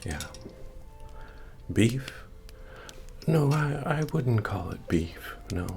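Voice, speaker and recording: A man playing a friendly, humble medieval innkeeper in a fantasy ASMR roleplay, speaking softly and often near a whisper as he tends to a guest. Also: sing-song, full character voice. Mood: savouring, soothing, then friendly, helpful. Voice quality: deep, soft, often dropping to whisper. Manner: unhurried, hospitable, murmuring to a guest. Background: soft bard music.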